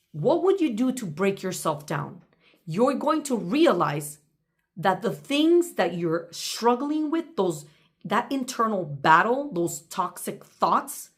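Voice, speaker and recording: A woman gives a faith-based motivational talk in a mid-pitched voice. She speaks deliberately and with emphasis, addressing the listener directly, as if coaching them through notes from her own journal. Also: fairly bright tone.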